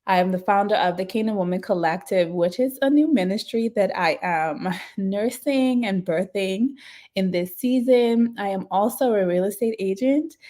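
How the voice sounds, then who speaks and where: A woman, a podcast guest telling her life story in lively casual chat and laughing often. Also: medium pitch, normal speed. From Bahamas.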